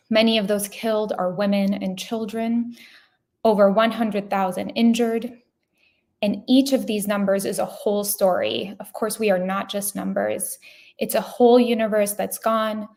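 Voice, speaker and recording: A woman researcher giving an academic presentation over a video call, calm, sincere and steady. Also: mid-range voice, creaky.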